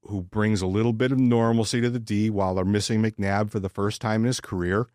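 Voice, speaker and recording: A man's voice: on the low side, steady tone, brisk, darkish tone, no echo, studio quality. A man co-hosting a sports podcast, chatting casually and giving opinions in informal, sometimes profane language.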